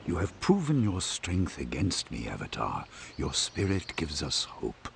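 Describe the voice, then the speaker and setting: An actor playing an ancient forest spirit beast, speaking in a grave, formal, oracular way for an animated game. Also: male voice, rumbling low, unhurried, vocal fry, noisy background, exaggerated voice. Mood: solemn. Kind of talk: animated game cutscene acting. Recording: music behind the voice.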